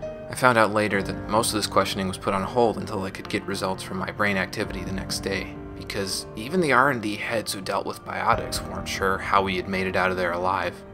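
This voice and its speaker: A man voicing a young former gang operative, telling painful memories in a measured, scripted audio log. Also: sits low, steady tone. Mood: regretful, reflective. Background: music.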